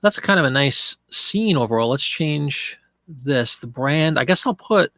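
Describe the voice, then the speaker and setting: Brief: middle-aged male host with a cold, gravelly and congested, rambling live-stream chat, dry close sound. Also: on the high side, animated, a little slow, sharp tone, no room sound. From Pennsylvania. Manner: chatty, rambling, answers viewer chat. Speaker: live-stream eBay seller.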